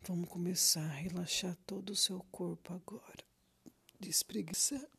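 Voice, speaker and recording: A meditation guide with a Brazilian accent gives gentle, soothing instructions in a breathy near-whisper, leaving long pauses between prompts. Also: mid-range voice, steady tone, no room sound.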